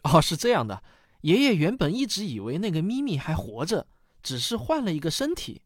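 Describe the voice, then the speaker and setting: Man acting a patient, expressive father. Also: fairly high pitch, a little fast, no room sound, studio quality. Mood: patient, explaining. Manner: patient, explanatory. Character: patient father explaining things to his child. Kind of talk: radio drama dialogue.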